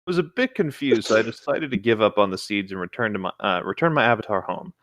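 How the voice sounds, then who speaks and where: A man's voice: fairly low pitch, animated, quick pace, a bit bright, dry recording. A man co-hosting a casual read-along of internet horror stories, with light joking comments between lines. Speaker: co-host reading internet horror stories aloud.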